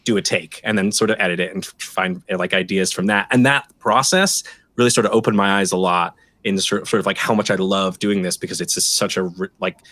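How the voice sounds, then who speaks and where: A man with a fairly low voice talks casually on a live stream. He rambles enthusiastically and leans on filler phrases, in a dry-sounding space. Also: fairly even intonation, a little fast, a bit bright, no echo. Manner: rambling and enthusiastic, heavy use of "like" and "sort of". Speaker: sound designer and musician on an audio drama.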